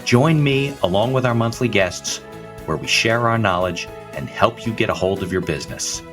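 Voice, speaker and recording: A man hosting a business podcast, reading a scripted opening and then chatting with a guest in a relaxed, conversational way. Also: fairly low pitch, moderate pace, over music. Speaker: podcast host, attorney. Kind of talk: scripted intro, then casual interview chat.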